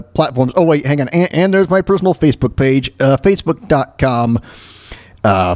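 A man hosting a casual solo radio show, talking in a halting way as he thinks aloud. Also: a little high, darkish tone, no room sound, studio quality.

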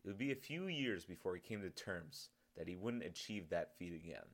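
A man's voice: sits low, lively intonation, a little fast. A man reading his own story as scripted audiobook narration.

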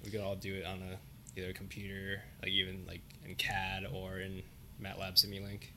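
A young student hosting a casual interview, speaking with frequent fillers like "like" and "um". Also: male voice, deep voice, completely flat delivery, brisk, pushed and bright, a little hiss or hum. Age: young adult. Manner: filler-heavy, uses "like" often. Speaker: podcast host, student.

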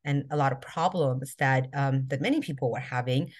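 A woman from Iran, a podcast guest, answering interview questions with many pauses and ums. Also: rumbling low. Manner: hesitant, many ums and restarts. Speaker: podcast guest, social justice activist.

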